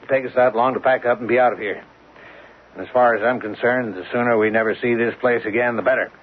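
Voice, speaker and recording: An actor playing a firm, businesslike oil drilling boss in an old radio drama, matter-of-fact and decisive. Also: male voice, mid-range voice, fairly even intonation, quick pace, lightly acted. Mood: brisk, resigned. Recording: muffled, hissy old radio recording.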